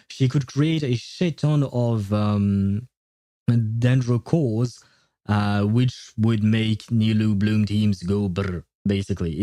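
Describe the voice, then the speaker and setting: Mellow-voiced male game streamer. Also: medium pitch, no echo. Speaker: livestreamer. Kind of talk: casual gaming livestream chat, answering viewer questions.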